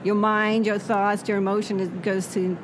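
A woman whose first language is Swedish, an author answering interview questions in a reflective way that often trails off softly. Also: medium pitch, level tone, moderate pace. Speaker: author guest. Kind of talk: interview conversation. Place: noisy spot near traffic. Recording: background traffic noise.

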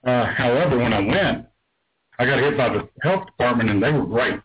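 Big-voiced texas guy calling into a radio show, husky and garbled over the phone. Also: lively intonation, brisk, phone-quality sound. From San Antonio, Texas. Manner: big booming voice. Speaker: caller, vendor. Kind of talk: call-in radio chat.

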